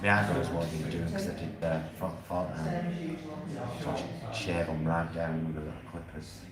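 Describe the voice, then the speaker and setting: A man's voice: deep voice, big pitch swings, moderate pace, a loud crowd. A man chatting casually on a vlog. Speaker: vlogger getting a haircut. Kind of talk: casual chat. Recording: echoey room, clear background noise.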